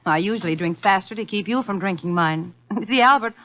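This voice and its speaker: A woman's voice: medium pitch, a little fast, slight background noise, natural voice. An actress playing a peevish wife at a cocktail party in a radio mystery, chiding as she talks. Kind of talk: vintage radio mystery acting. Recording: muffled vintage radio recording.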